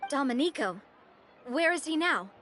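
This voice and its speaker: Confident young game heroine, high lively voice, gracious, bit of music behind. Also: female voice, moderate pace. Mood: businesslike. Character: confident young woman, gracious and brisk organization leader. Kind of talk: video game voice acting.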